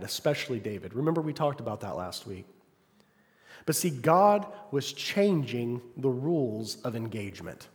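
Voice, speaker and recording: A man preaching a sermon, calling for "amen" from the congregation and swinging between loud, fervent passages and quiet asides. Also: mid-range voice. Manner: call-and-response, asks congregation "Amen?". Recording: long hall reverb.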